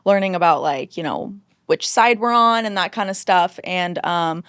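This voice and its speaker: A woman's voice: lowish voice, expressive, moderate pace, a bit bright, smooth, no room sound, studio quality. A young adult woman as a podcast guest, telling personal stories in a casual, informal, youthful way. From Southern United States. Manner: informal, filler-heavy, youthful phrasing with frequent "like". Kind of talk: casual, conversational personal storytelling.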